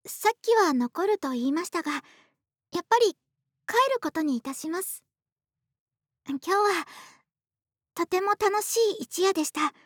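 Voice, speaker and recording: Actress as high-voiced, gentle big-sister neighbor. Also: female voice, lively intonation, measured pace, pauses often, dry recording, studio quality. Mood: apologetic. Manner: polite, soft-spoken formal speech addressed to the listener. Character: gentle, caring, polite big-sister neighbor woman who pampers the listener. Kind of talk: Japanese ASMR situation voice drama, intimate one-to-one roleplay. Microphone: close ASMR microphone, dry studio.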